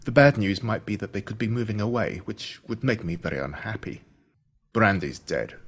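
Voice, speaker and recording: An Australian man reading a scripted first-person story in an expressive, dramatic storytelling manner. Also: lowish voice, brisk, husky. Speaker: audiobook narrator.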